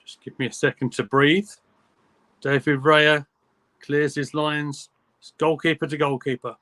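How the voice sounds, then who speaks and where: Higher-voiced fan calling a match. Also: male voice, little pitch movement, a bit bright. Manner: brisk, clipped match calls. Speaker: fan commentator. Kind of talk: live play-by-play watchalong commentary.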